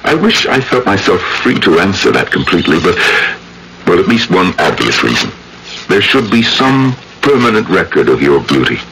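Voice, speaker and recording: Deep-voiced, secretive gentleman photographer. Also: sing-song, creaky, exaggerated voice. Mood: courteous, secretive. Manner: measured, formal, theatrical. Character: secretive, philosophical, courteous gentleman photographer with hidden purpose. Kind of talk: old-time radio drama acting. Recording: noisy old radio recording.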